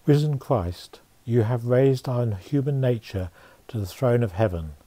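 A man reciting formal liturgical prayers with measured phrasing. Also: medium pitch, lively intonation, warm tone, no room sound.

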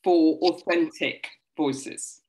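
A woman speaking as a producer on a roundtable video call, measured and collaborative. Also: low-pitched, fairly even intonation, mellow, rough recording. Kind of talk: roundtable discussion. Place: home room with bookshelves. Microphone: laptop webcam video call.

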